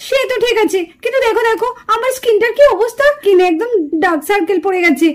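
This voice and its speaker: A woman's voice: extremely high pitch, fast. A woman playing a vain, whiny young city woman in a puppet cartoon, gushing and pouting in an affected way with bits of English. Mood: fussy, disapproving. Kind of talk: puppet cartoon drama acting.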